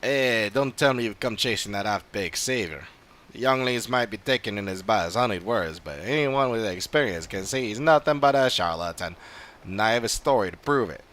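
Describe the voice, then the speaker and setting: A man's voice: on the low side, animated, normal speed, a bit bright. Man playing a scornful, cynical fantasy villager. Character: scornful experienced villager, cynical about heroes. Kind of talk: scripted game dialogue reading.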